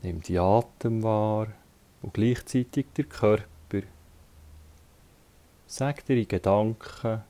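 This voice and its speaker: Very slow, mellow Swiss German man. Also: fairly low pitch. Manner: calm, instructing in short phrases with long pauses. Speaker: yoga teacher. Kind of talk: guided relaxation meditation.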